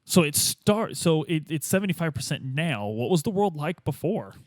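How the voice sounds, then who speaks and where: A man's voice: a little high, sing-song, normal speed, dry recording. A man playing a tabletop game, asking casual questions and piecing things together aloud.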